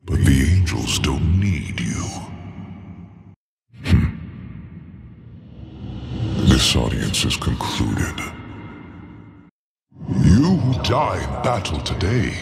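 Deep, slow, imperious fallen angel. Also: male voice, very animated, creaky, full character voice. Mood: solemn, commanding. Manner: imperious, stately. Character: solemn armored fallen angel commander. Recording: long cavernous reverb, music behind.

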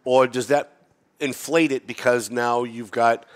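A man in his mid-fifties hosts a local podcast from a studio set, talking at a headset mic in a casual, rambling way. His voice sits at an ordinary middle pitch, and he pokes fun at himself as he goes. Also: fairly even intonation, unhurried. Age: 50s. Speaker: podcast host. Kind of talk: casual interview chat.

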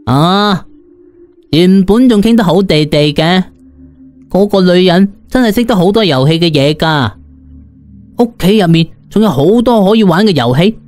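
A man's voice: sits high, expressive, normal speed, darkish tone, soft background music. A man voicing an arrogant, scornful ancient immortal in a storybook, boastful and impatient. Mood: impatient bragging. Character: arrogant ancient immortal sorcerer calling himself grandpa, scornful. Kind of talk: Cantonese audiobook character voice.

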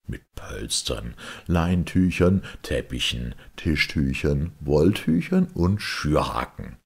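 A man's voice: extremely low pitch, big pitch swings, slow, creaky, husky. A man reading a scripted storybook narration as an audiobook reader.

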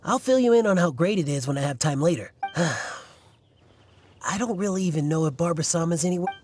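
A man's voice: a little high, animated, fairly quick, a little mellow, slight background noise, lightly acted. A man voicing an earnest, self-important young fan club leader in a video game, stammering and fussy. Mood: fussy, determined. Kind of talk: video game character acting.

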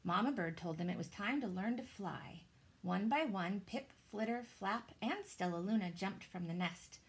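A woman, reading a children's story aloud in a dramatic, deliberate way. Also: mid-range voice, animated. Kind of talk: expressive children's storybook narration. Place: small indoor room with shelves.

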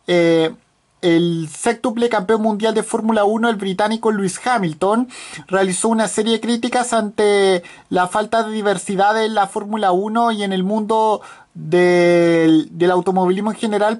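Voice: medium pitch, darkish tone. A host reading out motorsport news in a casual way, with many fillers and restarts. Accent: Spanish-speaking. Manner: hesitant, frequent fillers and restarts.

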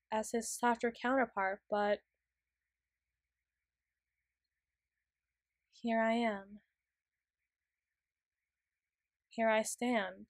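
A woman voicing a flustered, self-doubting, bookish young tsundere in a halting ASMR roleplay monologue. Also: fairly high pitch, no room sound, studio quality, lightly acted. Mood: subdued, self-deprecating. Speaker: audio roleplay performer.

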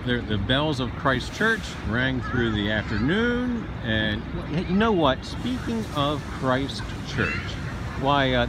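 A man hosting an informal walking history tour outdoors, talking to viewers in a folksy, hesitant, rambling storytelling way. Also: mid-range voice. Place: outdoors on city streets and park lawn. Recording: noisy outdoor street with traffic. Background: street traffic and outdoor city ambience.

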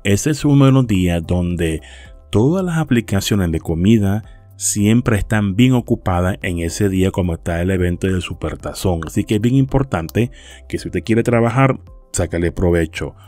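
A man's voice: low voice, darkish tone. A man hosting a casual vlog, chatty and friendly, calling his viewers friends and often restarting phrases. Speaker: YouTube host giving rideshare and delivery tips. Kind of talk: casual informative vlog talk over stock footage. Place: lives in South Florida.

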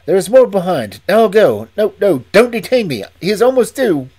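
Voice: medium pitch, sing-song, normal speed, mellow, slightly rough, pitched above the performer's own voice. A reader voicing a nervous, breathless male estate manager who talks in agitated, emphatic bursts. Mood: bitter. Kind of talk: audiobook reading.

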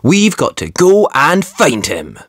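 A storybook reader voicing an eager, excited young rescue pup. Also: medium pitch, takes it slowly, no echo, clean studio sound, voice pushed up, exaggerated voice.

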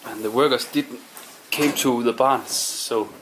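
Slow-talking man exploring. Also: mid-range voice, fairly even intonation, background noise. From Denmark. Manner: musing, halting, talks to himself while exploring. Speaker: urban explorer. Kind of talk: casual walkthrough narration. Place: abandoned house and barn, indoors and outdoors.

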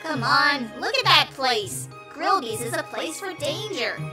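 Grumpy little cartoon flower played by a woman: high-pitched, cynical, exasperated, music underneath. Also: expressive, measured pace. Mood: agitated. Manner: snippy, exasperated, sarcastic. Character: small grumpy sarcastic talking flower, bossy and cynical. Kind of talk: comic dub voice acting.

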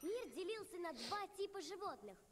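An eager little rabbit girl from a cartoon film. The voice is extremely high and swings widely in pitch. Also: sing-song, moderate pace. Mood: earnest, theatrical. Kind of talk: animated film dub.

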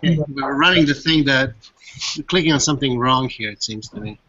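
A man architect chatting casually on a video call, upbeat with quick asides. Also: medium pitch, noisy background. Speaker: architect, interviewee. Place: open-plan office. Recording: muffled video-call audio.

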